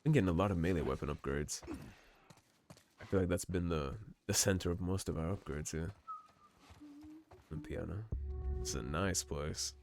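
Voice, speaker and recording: Deep-voiced man, slightly hoarse. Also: normal speed, long pauses, background noise. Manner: relaxed, musing, reads in-game notes aloud. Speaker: game streamer. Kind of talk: let's play commentary.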